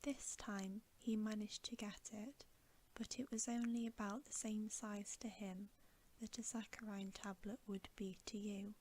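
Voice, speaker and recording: Very slow, whispering woman storyteller. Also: mid-range voice, steady tone. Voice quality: soft, breathy whisper. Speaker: ASMR reader. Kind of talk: whispered ASMR bedtime storybook narration.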